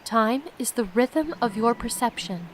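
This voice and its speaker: Woman reading game diary entries as a solemn writer, measured storytime voice, highish, bit of noise. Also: expressive. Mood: pondering. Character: solemn diary writer recounting strange mystical visions. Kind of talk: reading story text aloud.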